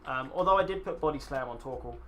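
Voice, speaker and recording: A man's voice: mid-range voice, quick pace, pushed and bright, soft background music. A man in his twenties casually chatting and rambling to viewers while streaming a game. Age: 20s. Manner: rambling, chatty, swears casually, talks to chat. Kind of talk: casual live-stream chat.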